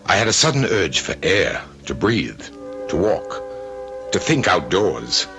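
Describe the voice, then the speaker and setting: Deep-voiced, haunted ex-soldier. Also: male voice, very animated, music in the background, exaggerated voice. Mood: brooding dread. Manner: brooding, dramatic, deliberate. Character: haunted, self-doubting former soldier narrating his own mystery. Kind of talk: first-person radio drama narration.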